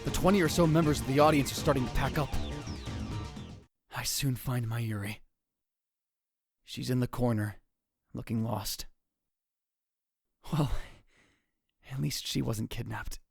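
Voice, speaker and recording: A man's voice: medium pitch, a little slow, creaky, long pauses, music in the background. A man playing a dramatic, paranoid young self-styled mad scientist in a visual novel fan dub, theatrical, brooding and self-important. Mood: calm narrating. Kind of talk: visual novel fan dub, first-person narration and dialogue.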